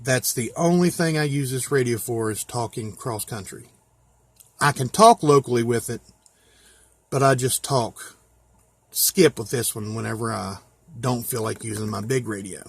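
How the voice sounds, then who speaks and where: A man's voice: medium pitch, moderate pace, creaky, husky, no echo. An American man giving a casual hands-on walkthrough as a hobbyist, explaining things step by step in a plain-spoken, folksy way. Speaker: hobbyist presenter.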